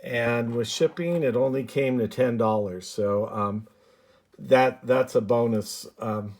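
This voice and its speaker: A man with a mid-pitched voice talks through a hands-on hobby repair in a casual, unscripted way. He speaks slowly, halting to think aloud as he goes. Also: darkish tone. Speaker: hobbyist presenter of a radio repair video. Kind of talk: casual unscripted show-and-tell commentary.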